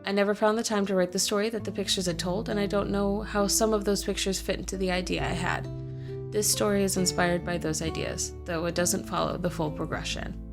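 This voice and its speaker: A woman host reading a first-person fantasy story aloud in a measured, deliberate way. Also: medium pitch, fairly even intonation, gravelly, over music.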